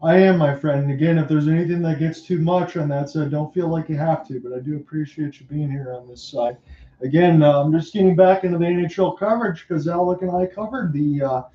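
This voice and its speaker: A man calling hockey play-by-play, his calls rising sharply and quickly on scoring chances. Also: on the high side. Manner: rapid excited calls that rise sharply on chances. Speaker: play-by-play announcer. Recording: dull lo-fi roomy home mic.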